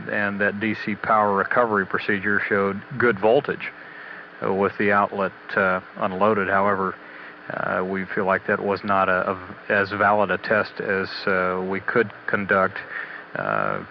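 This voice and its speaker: A man giving a halting, matter-of-fact technical status briefing as a spaceflight mission commentator, on old radio audio. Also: sits low, gravelly. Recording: dull, noisy 1980s mission radio audio.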